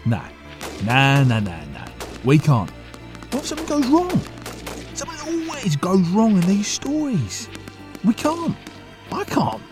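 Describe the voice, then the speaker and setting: Audio drama role: reluctant young tinkerer, anxious and stammering, extremely animated intonation, over a music bed. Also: male voice, high-pitched, a little slow, long pauses, heavily acted. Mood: anxious, doubtful. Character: nervous, reluctant young male sidekick and tinkerer. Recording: music under the voice.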